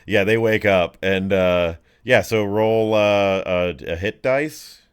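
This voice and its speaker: A man's voice: lowish voice, slow pace, clear, resonant tone. A man casually running a tabletop game as game master, narrating and talking through rules.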